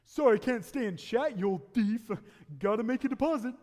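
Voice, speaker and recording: A man's voice: very high voice, normal speed, darkish tone, voice pushed up. A man voicing a jolly, scatterbrained older small-town man in an old-style radio play, exclaiming, fumbling and repeating himself. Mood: gloating, jolly. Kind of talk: 1940s radio play acting. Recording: live stage taping in echoey hall.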